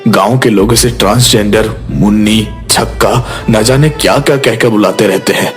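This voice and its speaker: A man's voice: on the low side, normal speed, faint music behind, close to an everyday voice. A man playing a worried village father in a Hindi moral story. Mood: worried, pleading. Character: worried village father pleading about his son.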